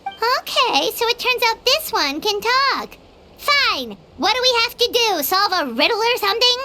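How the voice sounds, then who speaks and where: A woman voicing a tiny excitable girl companion in a video game, bubbly and chatty, referring to herself in the third person. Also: extremely high pitch, unhurried, noisy background, heavily acted. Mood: chirpy. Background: game music.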